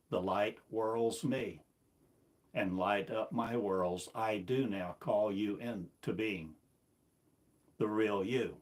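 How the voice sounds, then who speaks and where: A man delivering a rambling, self-recorded sermon, asking one repetitive, incantatory rhetorical question after another. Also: lowish voice. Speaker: self-styled preacher.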